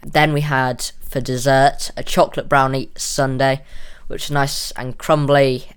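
A man's voice: mid-range voice, flat delivery, measured pace, clear, resonant tone. A young man chatting casually and a little hesitantly as a podcast host. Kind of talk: casual chat.

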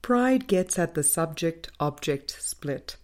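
A woman reading a spiritual audiobook as scripted narration, in measured, deliberate phrases with frequent pauses. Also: low-pitched, animated, darkish tone. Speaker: audiobook reader.

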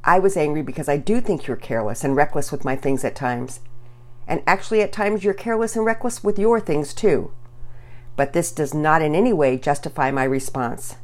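A woman's voice: sits low, expressive, a little fast, a little mellow. Woman voicing an exasperated, stammering storybook dad. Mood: gentle, remorseful. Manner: stammering, stumbling over words. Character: exasperated, flustered father ranting at his kids. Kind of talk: children's story character voice.

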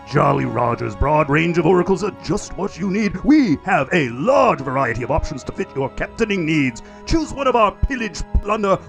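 A man's voice: fairly high pitch, vocal fry, a bit bright, full character voice. A man doing a cheesy pirate ad announcer. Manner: salesy.

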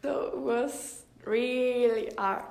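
A teenage Italian girl answers interview questions in casual, accented English, her second language. She speaks slowly and haltingly, searching for the right word. The room adds a noticeable echo to her voice. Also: a little high, steady tone, gravelly, a little mellow. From Italy. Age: teenager, high school student. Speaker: podcast guest, exchange student. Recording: roomy echo on the voice.

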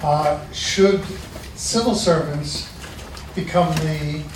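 A man's voice: a little high, fairly even intonation, very slow, noisy background. A man in the audience asking a question in short, broken phrases. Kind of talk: question from the floor. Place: seminar hall. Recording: echoey hall, distant mic.

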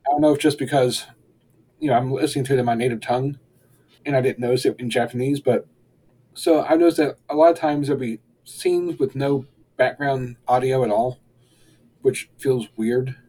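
A young man co-hosting a podcast, reviewing an episode in relaxed, rambling casual chat and chuckling often. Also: mid-range voice, normal speed, breathy edge, low-quality recording. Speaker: podcast co-host.

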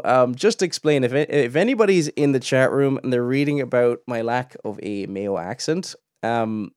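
Brief: young male host, mid pitch, dry close sound, chatty commentary. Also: a little mellow, clear, resonant tone, no echo. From Cork, Ireland. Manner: chatty, restarts often, laughs in asides. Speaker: podcast host. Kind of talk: casual commentary.

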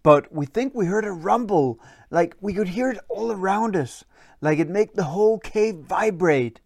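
Storyteller as an eager young troll boy, animated. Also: mid-range voice, moderate pace, dry recording. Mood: curious. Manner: eager, wondering.

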